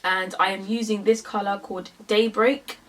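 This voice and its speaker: A woman's voice: mid-range voice, level tone, moderate pace, recorded from a distance. A woman in her twenties from London with a London accent, chatting casually through a makeup tutorial with lots of fillers. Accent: London English. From England. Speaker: beauty vlogger.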